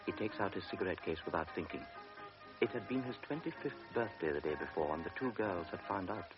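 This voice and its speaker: A man narrating a radio drama in a measured, grave manner. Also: low-pitched, animated. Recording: dull old radio recording with music.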